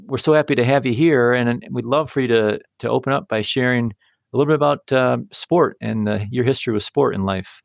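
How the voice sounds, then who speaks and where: A man's voice: mid-range voice, creaky, no room sound. A man co-hosting an interview podcast, introducing the guest and asking questions. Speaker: podcast co-host.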